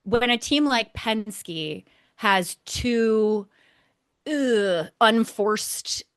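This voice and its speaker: A woman's voice: fairly high pitch, very slow, smooth, no room sound. Emphatic woman co-hosting a podcast, dry sound. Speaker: podcast co-host. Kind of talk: casual motorsport recap discussion.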